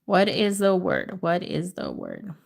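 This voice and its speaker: A woman's voice: lowish voice, wildly swooping pitch, vocal fry. A woman from the United States hosting a livestream word-guessing game, reading out letters and chatting with viewers, sometimes in Spanish. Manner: slow, drawn-out letter reading, switches into Spanish. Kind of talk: casual live chat with viewers.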